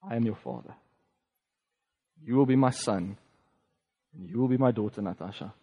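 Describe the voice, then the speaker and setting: A man with a South African accent, a native Afrikaans speaker, giving a reflective personal testimony as a preacher, conversational and halting with fillers. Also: medium pitch, breathy edge, big-room sound, highs cut off.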